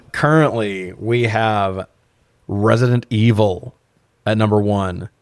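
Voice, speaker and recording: A man's voice: on the low side, slow pace, dry recording. A man co-hosting a podcast in a casual film review chat, opinionated and joking, with some swearing. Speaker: podcast co-host.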